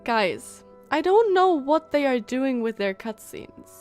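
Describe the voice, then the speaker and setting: High-voiced, slow-paced woman streamer. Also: soft background music. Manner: expressive, thinks aloud, halting. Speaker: game streamer. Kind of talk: casual live reaction commentary.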